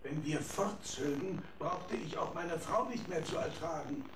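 A man's voice: a little high, unhurried, background noise, natural voice. An actor playing a fussy, complaining nobleman in a children's radio drama. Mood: plaintive. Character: fussy, henpecked Gothic nobleman, plaintive.

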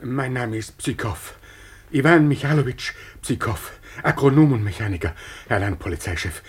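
An actor playing a solemn Russian estate steward in a 1950s German radio play, formal, deferential and ponderously dramatic, with a husky voice. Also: male voice, medium pitch, animated, moderate pace. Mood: grave, agitated.

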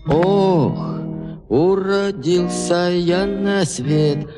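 A henpecked peasant husband sings a self-pitying folk lament. His high voice sighs along very slowly over loud plucked strings. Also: music in the background. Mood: self-pitying, plaintive. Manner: plaintive, sighing. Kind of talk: slow comic folk-lament singing. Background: loud plucked-string accompaniment.

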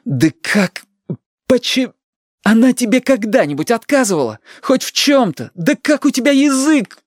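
A woman voicing a hot-tempered, exasperated young grandson in an audiobook, in indignant, agitated shouts. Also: sits low, a little slow, no room sound, clean studio sound, voice pushed up. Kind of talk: dramatized audiobook reading.

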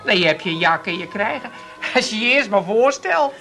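An actress playing a down-to-earth, bossy sister with dry, teasing sarcasm, in a comic radio play. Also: female voice, mid-range voice, animated, moderate pace, a bit bright, soft background music. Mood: bossy, exasperated.

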